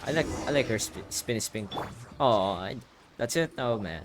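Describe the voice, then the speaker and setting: A man's voice: mid-range voice, lively intonation, normal speed, background noise. A young man giving casual, slangy gameplay commentary as the host of a playthrough. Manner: slangy, offhand. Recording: game music behind.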